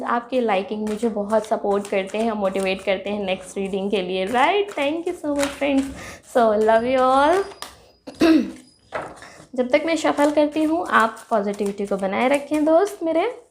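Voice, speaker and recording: Woman, tarot reader, sore throat, throat clearing, chatty, excited, fairly high voice. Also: a little hiss or hum. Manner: chatty, excited exclamations, calls viewers friends. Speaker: tarot reader, channel host. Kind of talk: casual tarot reading to viewers.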